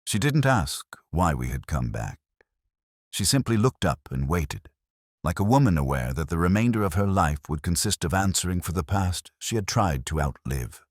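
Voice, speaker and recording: A man reading a scripted first-person detective story in a solemn, measured, literary way. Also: low-pitched, very animated, no echo, clean studio sound. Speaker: narrator.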